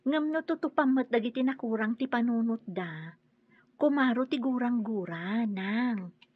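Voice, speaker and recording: Woman playing loyal Ilocano daughter, highish husky voice, radio drama, slightly muffled. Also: animated, measured pace, no echo. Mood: concerned.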